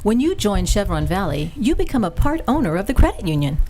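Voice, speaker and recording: A woman's voice: mid-range voice, sing-song, a little fast, mellow, a little hiss or hum. Woman reading an ad, polished and persuasive, voice bouncing up and down. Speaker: ad reader in a radio play. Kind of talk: reading a commercial.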